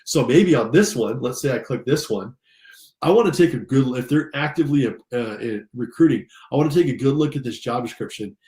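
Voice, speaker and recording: A man's voice: medium pitch, lively intonation, a little fast, a little mellow. An American man, slightly stuffed up, giving an informal, enthusiastic tutorial and speaking directly to his listeners. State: slightly congested from allergies. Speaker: career coach and instructor. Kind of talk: informal screen-share tutorial. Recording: roomy, echoing sound.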